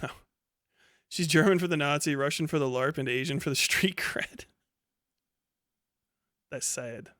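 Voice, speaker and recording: A man's voice: on the high side, a little slow, pauses often, bright, forceful tone, dry recording, clean studio sound. Blunt, sweary male streamer with a clean sound. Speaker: live streamer. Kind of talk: casual livestream commentary, reading chat.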